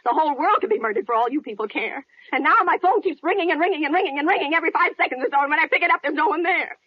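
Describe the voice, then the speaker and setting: An actress playing a nervous, demanding bedridden wife in a 1940s suspense radio play, agitated and querulous, on a crackly vintage recording. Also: female voice, high voice, animated, very fast, muffled recording. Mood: irritated, demanding. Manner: agitated, fretful, querulous, indignant.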